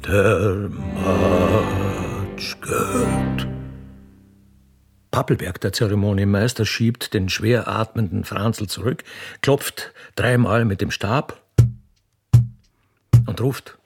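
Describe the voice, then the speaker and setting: Gravelly Austrian man narrating, slow and theatrical. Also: on the low side, faint music behind. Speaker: narrator and solo reader of a radio play. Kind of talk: theatrical scripted narration of stage directions.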